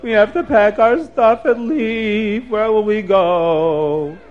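A man voicing a worried wife with a slow, drawn-out lament, in a children's story. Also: sits high, muffled recording, voice pushed up. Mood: despairing.

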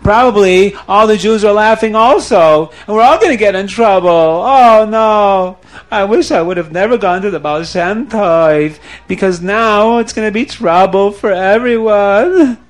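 A frightened estate manager, as a male storyteller puts him on, wailing and fretting in a high voice. The worry tumbles out of him. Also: a little slow. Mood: fearful. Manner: panicky, wailing, fretful.

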